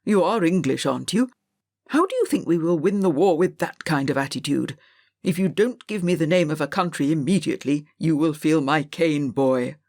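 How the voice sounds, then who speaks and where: A woman's voice: sits low, expressive, normal speed, darkish tone, no echo. A stern older schoolmaster, voiced by a woman narrator, bullying and proud. He speaks in haughty, clipped demands as a storybook villain would, recorded dry. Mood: stern, scolding. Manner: barking, haughty interrogation.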